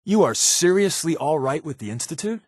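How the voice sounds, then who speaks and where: An actor playing a wry, wisecracking American undercover spy companion in a video game, with a glib, sardonic and casual way of talking. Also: male voice, fairly high pitch, very animated, moderate pace, husky, no echo, clean studio sound. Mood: guarded, disapproving. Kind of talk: video game voice acting, conversational dialogue.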